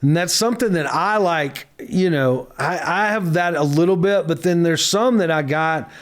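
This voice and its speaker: A man's voice: on the high side, moderate pace. Laid-back rambling man guest. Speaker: guest singer. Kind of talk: casual chat.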